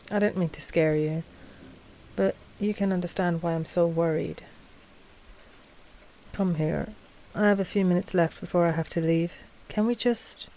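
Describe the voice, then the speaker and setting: A woman playing an anxious, tender vampire noblewoman in an ASMR roleplay, speaking softly and hesitantly with sighs. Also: fairly low pitch, a little hiss or hum, rough recording. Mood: cozy, affectionate. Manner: soft, hesitant, sighing.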